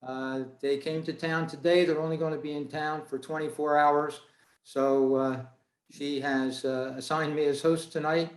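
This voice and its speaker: A man hosting an online meeting, giving an informal presentation in a measured, halting way over a video call. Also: on the high side, flat delivery. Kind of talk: informal spoken presentation from notes. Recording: roomy, echoey video-call audio.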